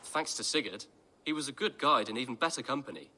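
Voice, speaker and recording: An actor playing an earnest, polite young prince in a video game cutscene. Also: male voice, mid-range voice, lively intonation, quick pace, bright, forceful tone, big-room sound, a little hiss or hum. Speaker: game character.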